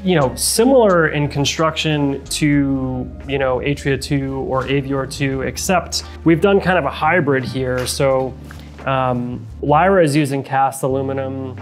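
A man with a middle-pitched voice explains technical product details as an interview guest. He talks conversationally and explains step by step, often dropping in "you know". Faint music plays behind him. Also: unhurried. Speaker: loudspeaker company representative, interview guest.

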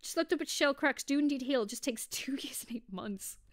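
A woman giving playful, expressive let's play commentary on a live stream, chatting in asides. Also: high voice, fairly quick. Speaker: streamer playing through a visual-novel style series. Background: music.

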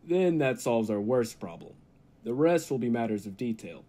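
An actor playing a grave, calculating laboratory assistant in an old-time radio drama, speaking formally with a husky voice. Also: male voice, medium pitch, animated, moderate pace, dark tone, natural voice. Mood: calm, businesslike.

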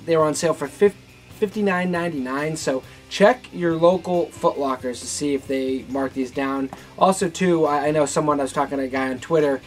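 A young man hosts a casual product review from a living room, talking to the camera. His voice sits a little high for a man. He speaks informally and haltingly, often restarting his thought, with music playing behind him. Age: young adult. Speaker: sneaker vlogger and host. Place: furnished living room, indoors.

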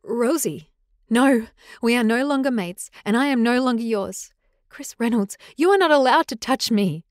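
A woman's voice: a little high, normal speed, a little mellow, no echo, clean studio sound, lightly acted. A woman voicing a heartbroken young female werewolf who pleads and lashes out in tearful outbursts, in an audiobook. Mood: defiant. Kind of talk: audiobook character voice.

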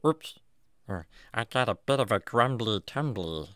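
A woman's voice: rumbling low, expressive, measured pace, no echo, clean studio sound. A woman voicing a naive, curious, childlike talking animal in a children's radio drama, with an innocent, earnest manner. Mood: sweet, eager. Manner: innocent, earnest, baby-talk phrasing.

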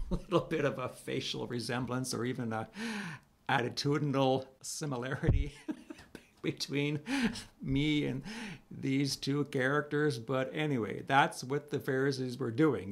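A middle-aged man with a raspy voice, a priest giving a conversational video homily to the camera in a small room, reading scripture slowly. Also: medium pitch. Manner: conversational, animated hand gestures, reads scripture slowly.

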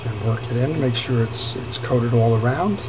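A man of ordinary pitch talks through a fishing reel repair at his workbench, unhurried and full of pauses and restarts. He is picked up by a camera mic over the bench. A clear layer of background noise sits behind his voice. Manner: unhurried, many fillers and restarts. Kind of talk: hands-on repair tutorial. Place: workbench in a workshop.

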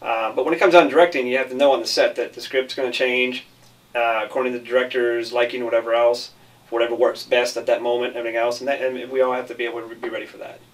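A man's voice: mid-range voice, level tone, quick pace, far from the mic, rough recording. A man giving casual interview answers about his work as a film director.

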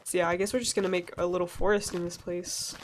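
A young woman from Sweden with a stuffy, nasal voice, chatting casually and laughing as she narrates a relaxed gameplay session. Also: fairly low pitch, fast, slight background noise. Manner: chatty, rambling, laughs often. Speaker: let's play gamer.

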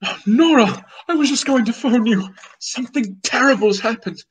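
A man's voice: very high-pitched, moderate pace, a little hiss or hum. A young man playing a distraught, panicky bridegroom in 1940s radio comedy style. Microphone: seated before camera on video call.

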